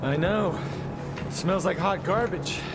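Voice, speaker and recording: A man's voice: sits high, slow pace. A man voicing a cocky, wisecracking male demon hunter in a video game cutscene, smug and cool. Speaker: game character. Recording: game audio under loud music.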